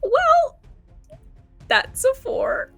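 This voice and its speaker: A woman's voice: very high-pitched, wildly swooping pitch, very slow, creaky, fairly bright tone. A woman playing a tabletop game and narrating what the character does. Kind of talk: narrating own character's actions. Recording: faint music behind the voice.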